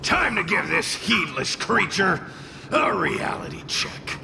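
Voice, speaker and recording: An actor playing a brash, hot-tempered fire spirit in a video game, with a gravelly, cocky, theatrical delivery. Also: male voice, sits high, very animated, slow, heavily acted. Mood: cocky, scheming. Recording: music under the voice.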